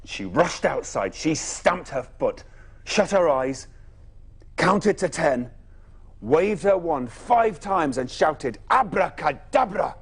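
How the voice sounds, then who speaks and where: A man reading a children's bedtime story expressively, with dramatic pauses and emphatic phrasing. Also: on the high side, very animated, husky.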